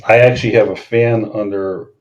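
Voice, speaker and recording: A man's voice: fairly low pitch, steady tone, normal speed. A middle-aged man from Chicago with a Chicago accent, calling in over voice chat and chatting in a rambling way, full of restarts. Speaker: caller on a voice chat. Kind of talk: casual chat. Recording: muffled voice-chat audio.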